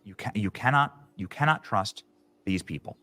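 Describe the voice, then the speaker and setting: A man's voice: lowish voice, expressive, moderate pace, pushed and bright, no room sound. A man commenting on an online show, sounding incredulous and repeating himself for emphasis. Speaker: political commentator.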